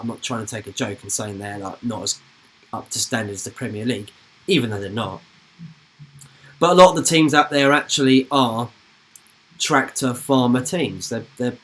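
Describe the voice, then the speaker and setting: A man's voice: medium pitch, a bit bright, low-quality recording. A young man, hosting a football channel's live stream in a rambling, informal chat that slips into casual swearing.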